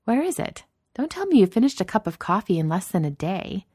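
A woman's voice: medium pitch, very animated, brisk, darkish tone, husky, no echo, pitched above the performer's own voice. Brash female boss, put on by a woman narrator, teasing, theatrical, raised voice, close dry sound, for storytelling. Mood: gleeful teasing. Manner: teasing, mock-dramatic.

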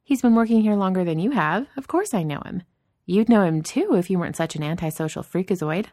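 A woman's voice: on the low side, lively intonation, fairly quick, dark tone, no room sound, studio quality, voice pushed up, lightly acted. A woman voicing a brash senior executive woman who teases with theatrical sarcasm and mock drama. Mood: smirking.

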